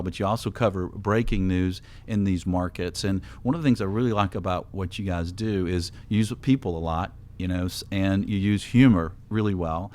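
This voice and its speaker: A man's voice: low-pitched, a little mellow. A man hosting a radio talk show, upbeat and chatty, who laughs easily with his guests.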